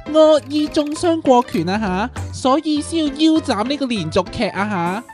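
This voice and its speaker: A woman's voice: high-pitched, expressive, moderate pace, over music. A woman playing a dramatic, clingy, lovesick young man with theatrical, whiny delivery in a comic radio drama. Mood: indignant. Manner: theatrical, whiny, repeats words.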